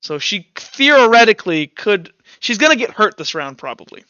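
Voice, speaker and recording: High-voiced man running a tabletop game. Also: sing-song, normal speed, pushed and bright, clean tone, no echo, lo-fi sound. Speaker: game master. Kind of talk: tabletop roleplay narration and casual rules talk.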